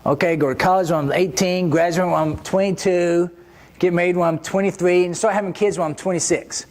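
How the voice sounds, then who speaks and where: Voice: medium pitch, steady tone, fairly quick. A preacher giving a church sermon in a conversational way, often asking the listeners rhetorical questions.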